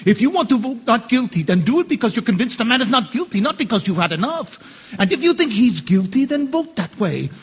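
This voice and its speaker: A man acting an earnest, principled juror in an audio drama, challenging others in a deliberate way. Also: very high-pitched, sing-song, breathy edge, big-room sound, exaggerated voice. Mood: stern indignation. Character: indignant, principled juror demanding moral conviction. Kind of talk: stage-style radio drama acting.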